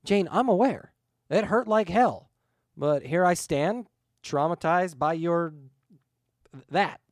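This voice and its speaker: A man's voice: on the high side, sing-song, moderate pace, a little mellow, no room sound, studio quality, voice pushed up. A man voicing a dead friend in a story, an exasperated, sarcastic wisecracker who stammers.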